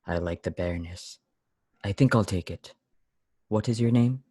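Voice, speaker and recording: A man playing a strange, pious and weary lodger in a radio play, solemn, deliberate and brusque. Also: deep voice, dark tone, husky, dry recording. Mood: brooding, reserved. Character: strange, weary, severe pious lodger, brooding and easily angered. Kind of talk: old-time radio play acting.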